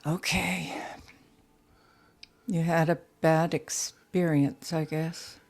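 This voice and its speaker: Unhurried, coaxing woman, lowish voice. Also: flat delivery, darkish tone, exaggerated voice. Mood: curious, probing. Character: curious, insistent female portrait sitter with a big house. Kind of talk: audio drama dialogue.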